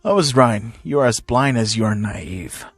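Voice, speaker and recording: A man voicing a cruel, sneering villainous father who speaks in a deliberate, mocking way. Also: medium pitch, very animated, breathy edge, no room sound, soft background music, pitched below the performer's own voice. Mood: cruel contempt.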